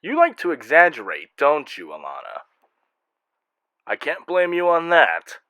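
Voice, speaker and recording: A man hosts a comic fan-mail segment in a wry, theatrical way. His pitch swings widely as he talks at a slow pace, and the sound is dry and close. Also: wildly swooping pitch, bright, forceful tone, no room sound, lo-fi sound. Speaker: host and narrator reading fan mail. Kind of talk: scripted comic hosting.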